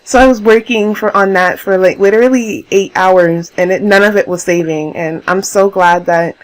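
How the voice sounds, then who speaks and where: A young woman talking casually over her game build in a rambling, chatty way, laughing often, her voice hoarse. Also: medium pitch, steady tone, moderate pace, poor audio. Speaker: YouTuber narrating a game build.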